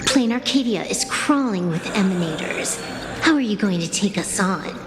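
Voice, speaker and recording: Game heroine, played by a woman: confident young hacker, challenging and measured, echoing hall sound, music underneath. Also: mid-range voice, expressive, close to an everyday voice. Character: cool, defiant young female hacker, confident under threat. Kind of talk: dramatic video game voice acting. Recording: echoey reverberant space, music underneath.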